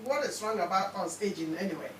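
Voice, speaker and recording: A woman's voice: medium pitch, lively intonation, background noise. Woman radio host in a roomy studio. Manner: brisk questioner, presses guests with repeated questions. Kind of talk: hosting a radio panel.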